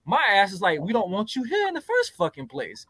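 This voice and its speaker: A man's voice: sits high, wildly swooping pitch, fairly quick, sharp tone, no room sound, studio quality. A man talking brashly in casual podcast banter, with heavy slang and swearing. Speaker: podcast panelist.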